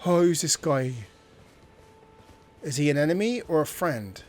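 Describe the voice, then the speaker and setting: A man's voice: on the high side, lively intonation, unhurried, a little mellow. A man hosting a gameplay video, thinking aloud in informal, casual commentary.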